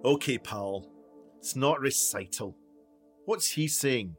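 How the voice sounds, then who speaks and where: A man's voice: mid-range voice, animated, slow pace, slightly rough, soft background music. A man in a radio play acting a self-important, impatient documentary maker with a brusque, pompous manner. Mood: impatient, dismissive.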